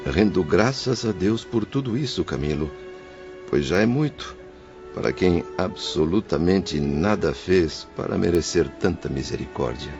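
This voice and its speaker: A deep, husky male spirit, played by a man, confessing with solemn remorse in an audio drama. His low voice sits over soft background music, the sound a little muffled. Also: expressive, unhurried. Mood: remorseful. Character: remorseful male suicide spirit, husband and father.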